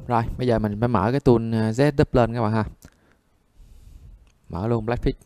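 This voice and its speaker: A man's voice: medium pitch, fairly even intonation, a little fast, darkish tone, no echo. A man giving a conversational step-by-step repair tutorial, often checking that listeners follow. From Vietnam. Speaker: phone repair instructor.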